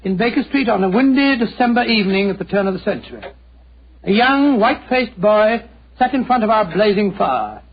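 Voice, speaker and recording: A man's voice: high-pitched, lively intonation, a little fast. A man playing a genial old British retired doctor, telling stories in an avuncular, theatrical 1940s radio style. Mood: grave, dramatic. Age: older. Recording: noisy, muffled, crackly 1940s radio recording.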